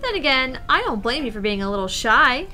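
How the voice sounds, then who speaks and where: Brief: woman as friendly, teasing schoolgirl club member in a visual novel, bright high voice, faint music behind. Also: very animated, a little fast, heavily acted. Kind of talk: visual novel read-aloud.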